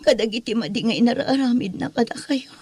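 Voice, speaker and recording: A woman's voice: on the high side, brisk, a little mellow, breathy edge. A woman playing a sorrowful, pleading mother in an Ilocano radio drama, with an Ilocano Filipino accent and earnest delivery.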